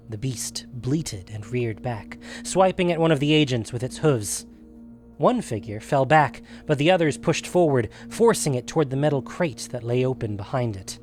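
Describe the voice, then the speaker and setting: A man reading scripted fantasy fiction as a narrator, in a measured, dramatic storytelling manner. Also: mid-range voice, a little mellow.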